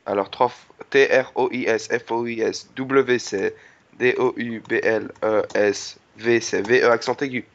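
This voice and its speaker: A man's voice: medium pitch, steady tone, natural voice. A man on a prank call playing a polite, dim-witted barman who is earnest and hopelessly confused by spelling. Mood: earnestly muddled. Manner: slow-witted, earnest, muddled, asks for repeats. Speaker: prank caller. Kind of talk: improvised prank phone call. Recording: dull lo-fi phone call audio.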